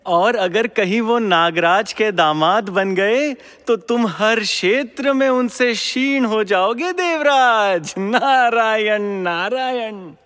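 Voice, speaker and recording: A man's voice: high-pitched, a bit bright, smooth. A man playing a teasing celestial sage in a mythological audio drama, with theatrical, sing-song delivery. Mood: needling, warning. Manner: sing-song drawn-out chanting, theatrical, needling. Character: celestial wandering sage, teasing messenger of the gods. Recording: long hall reverb.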